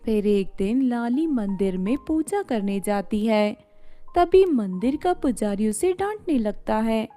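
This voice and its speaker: A woman's voice: a little high, lively intonation, takes it slowly, warm tone, faint music behind. A woman storyteller reading a scripted fairy-tale narration.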